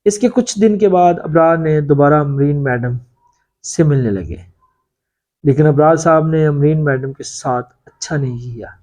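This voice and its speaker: A man's voice: fairly high pitch, lively intonation, moderate pace, darkish tone, natural voice. A man voicing a long-serving factory worker in a story, an earnest, secretive informant who warns deferentially. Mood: grave confiding. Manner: confiding, cautioning, deferential.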